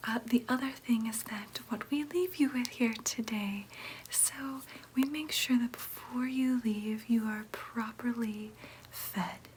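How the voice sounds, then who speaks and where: A woman playing a gentle, reassuring vampire nurse in an ASMR roleplay, speaking in a soft, breathy whisper with careful, soothing explanations. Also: a little high, steady tone, measured pace, hardly any pauses, slight background noise, close to an everyday voice, poor audio. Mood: helpful, businesslike.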